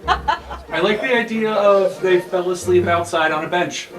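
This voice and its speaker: A man's voice: sits high, lively intonation, measured pace. A man playing a tabletop game, casually improvising bits of story. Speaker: player in a tabletop roleplay game. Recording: distant mic, roomy echo, music bed.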